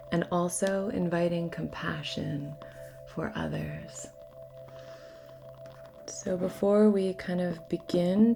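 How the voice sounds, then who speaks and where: Female spiritual host, lowish voice, gentle and near-whispering, casual guided-ritual delivery with music clearly underneath. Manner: soft-spoken, often slipping into near-whisper.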